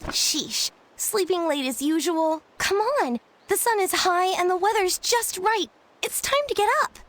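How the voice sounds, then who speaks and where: A woman voicing a bubbly, teasing young girl in a game cutscene, chirpy, bossy and excitable. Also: very high voice.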